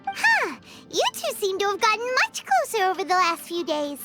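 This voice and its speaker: Little anime fairy kid, super high squeaky voice, blunt n nosy, loud music behind. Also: female voice, wildly swooping pitch, normal speed, exaggerated voice. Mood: surprised, curious. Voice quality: squeaky, childlike. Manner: exclaiming, inquisitive. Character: small excitable childlike fairy girl companion, curious, blunt. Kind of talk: anime game voice acting.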